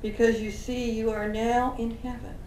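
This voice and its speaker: A woman's voice: a little high, flat delivery, darkish tone, a little hiss or hum. A woman channeling a spiritual message for a group in a meeting room, speaking in an earnest, uplifting way and drawing out words. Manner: slow, earnest, uplifting delivery with drawn-out words. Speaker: channeling medium. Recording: roomy room sound from a distant mic.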